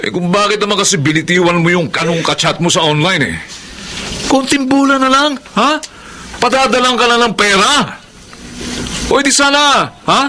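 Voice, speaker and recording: An actor playing a cocky, irritable small-time scammer and gambler husband in a radio drama. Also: male voice, high voice, animated, normal speed, bright, forceful tone, slight background noise. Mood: irritated, needling. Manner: boastful, defensive. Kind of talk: Tagalog radio drama acting.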